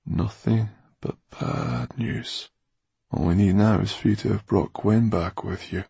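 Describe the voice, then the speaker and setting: A man's voice: fairly low pitch, fairly even intonation, moderate pace, gravelly, mellow, husky, dry recording, voice pushed up, exaggerated voice. A man voicing a wry, weary, secretive fire performer with a sardonic, muttering manner in an audiobook. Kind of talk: audiobook character dialogue.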